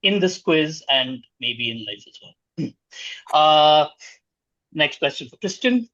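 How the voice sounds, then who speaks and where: Quiz master, male, higher-pitched voice, reads questions and calls scores, dull online-call sound. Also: expressive, slow, fairly bright tone, no room sound. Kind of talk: reading out quiz questions and scoring answers.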